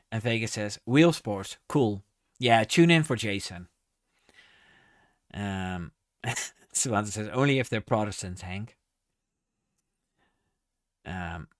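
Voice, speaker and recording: A man's voice: mid-range voice, smooth, no echo, clean studio sound. A man hosting a casual live stream, reading viewer comments aloud and answering with many ums and restarts.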